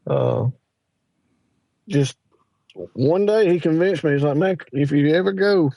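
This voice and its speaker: Give me a folksy Southern man in his forties, gravelly and dry-miked, telling rambling stories. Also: a little high, measured pace, warm tone, no room sound. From Mississippi, USA. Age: 40s. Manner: rambling, folksy, with lots of fillers. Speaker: guest hunter. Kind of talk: casual storytelling interview.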